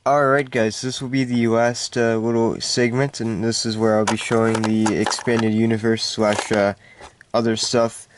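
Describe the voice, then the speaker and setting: A man with a congested voice giving a casual, halting, unscripted show-and-tell of a collection. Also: on the low side, level tone, noisy background. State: stuffed-up, congested voice. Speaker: hobby collector hosting a video.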